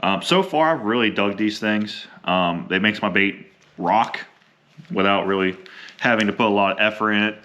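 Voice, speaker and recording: A young adult man with a slightly hoarse voice from a cold, chatting casually and rambling with many ums while unboxing fishing gear. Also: on the low side, moderate pace, a bit bright, muffled recording. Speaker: fishing vlogger.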